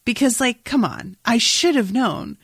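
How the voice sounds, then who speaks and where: A woman's voice: fairly high pitch, very animated, measured pace, fairly bright tone, breathy edge, dry recording, clean studio sound. A woman co-hosting a podcast in casual recap chat, exclamatory and freely swearing. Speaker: podcast co-host.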